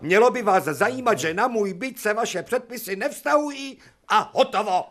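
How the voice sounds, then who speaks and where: A high, richly varied voice for an imperious bourgeois professor in a radio play. He speaks formally and precisely. Every phrase carries cultivated sarcasm and authority. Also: lively intonation, fairly bright tone. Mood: imperious, irritated. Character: haughty male professor surgeon, imperious, sarcastic, old-school bourgeois. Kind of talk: radio drama acting.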